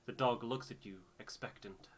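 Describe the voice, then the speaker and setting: A man reading video game narration aloud in a measured storybook way, with casual asides as a playthrough co-host. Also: mid-range voice, soft background music.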